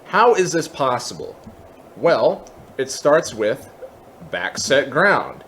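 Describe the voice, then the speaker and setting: A man hosting a software tutorial, explaining step by step in a methodical way. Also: mid-range voice, sing-song, slow pace, a little hiss or hum. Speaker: tutorial host.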